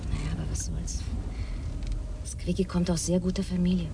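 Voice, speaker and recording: A chatty young woman who gossips in a lowish voice and is easily impressed. Clear background noise hangs under her, as in an older radio play. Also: very animated, moderate pace. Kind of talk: radio drama acting.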